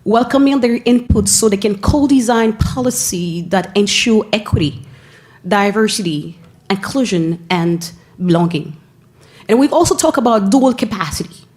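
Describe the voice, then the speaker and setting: A woman from Haiti with a Haitian accent, giving an earnest presentation at a school board meeting, often pausing and restarting her phrases. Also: mid-range voice, a little mellow. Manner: earnest, with frequent pauses and restarts. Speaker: school family engagement staff member.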